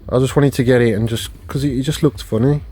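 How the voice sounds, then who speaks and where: A man's voice: steady tone, fast talker, dark tone, breathy edge. A man, rambling through a casual haul video with many fillers and restarts, recorded on a phone at home. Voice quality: low voice. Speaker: video collector vlogger. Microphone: phone camera.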